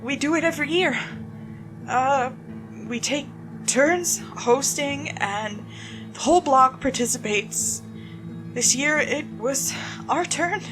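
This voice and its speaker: A woman's voice: high voice, takes it slowly, long pauses, over music, voice pushed up, rough recording. A woman voicing a shaken, panicked suspect in a horror story, stammering and tremulous as they plead with police. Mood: nervous, shaky. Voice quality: tremulous, breathy gasps. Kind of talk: dramatic horror story reading.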